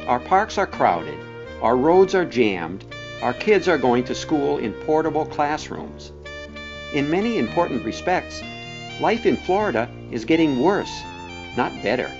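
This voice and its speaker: Guy narrating a documentary, pitch moves around a lot, pointed and deliberate, guitar music under him. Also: big pitch swings. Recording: dull, band-limited sound with music behind.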